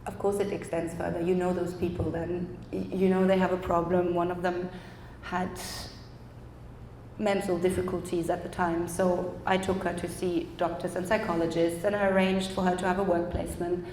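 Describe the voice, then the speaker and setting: A woman's voice: lowish voice, level tone, moderate pace, a little mellow, a little hiss or hum. Chatty German woman in an echoey hall. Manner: chatty, laughs often, acts out quoted dialogue. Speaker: photographer. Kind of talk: panel talk about her photo project. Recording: echoey hall, faint ambience.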